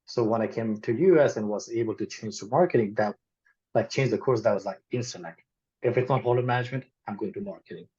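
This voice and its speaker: A man's voice: fairly low pitch, quick pace, slightly rough. A young man from Nepal with a Nepali accent, talking candidly and casually as an interview guest, with lots of "like" and "you know". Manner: relaxed, candid, uses "like" and "you know" often. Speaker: guest, e-commerce marketer. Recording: dull lo-fi audio.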